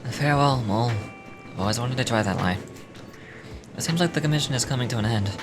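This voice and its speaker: A man's voice: medium pitch, quick pace, noisy background. A man reading game dialogue aloud in character, with small stumbles as he reads. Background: music.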